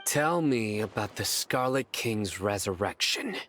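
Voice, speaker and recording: An actor playing a stern, menacing enforcer of few words in a video game. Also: male voice, lowish voice, lively intonation, measured pace, a bit bright, a little hiss or hum.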